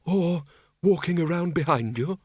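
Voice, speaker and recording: A man's voice: sits high, sing-song, measured pace, no echo, clean studio sound, voice pushed up, heavily acted. A man voicing a polite, nervous elderly man with a quavering voice in a storybook reading. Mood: wheedling.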